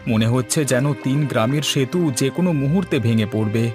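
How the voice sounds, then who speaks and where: A man's voice: mid-range voice, animated, a little fast, over music, lightly acted. Man acting as earnest village man in a cartoon. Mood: worried. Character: concerned village man urging neighbours to work together. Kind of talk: scripted cartoon dialogue.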